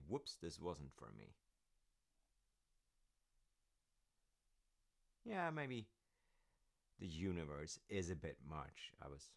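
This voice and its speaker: A man playing a supportive, rambling dad in a roleplay audio, talking gently and hesitantly to his grown child in a gravelly voice. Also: rumbling low, heavily acted. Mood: musing, encouraging. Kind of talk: roleplay audio, one-sided conversation.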